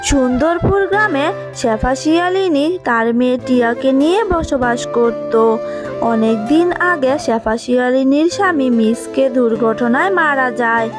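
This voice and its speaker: A woman's voice: high voice, normal speed, over music. A woman reading scripted narration for a children's cartoon story.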